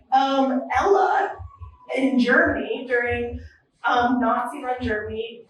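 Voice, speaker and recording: A woman's voice: high-pitched, fairly bright tone. A woman in her twenties doing a nervous, self-deprecating stand-up set at an open mic, full of ums. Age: 20s. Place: comedy club or small venue. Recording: very echoey room from a distance.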